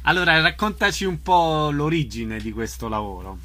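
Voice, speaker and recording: A man's voice: a little high, big pitch swings, normal speed, bright, forceful tone. A man hosting a radio interview, introducing and questioning his guest.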